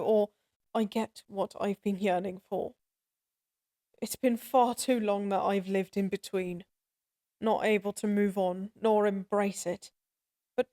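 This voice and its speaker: A woman playing a lonely, grieving goddess in an audio drama, speaking haltingly and with raw, pleading emotion. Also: medium pitch, dark tone. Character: sorrowful, lonely forsaken goddess, grieving and guilt-ridden. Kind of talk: scripted audio roleplay drama acting.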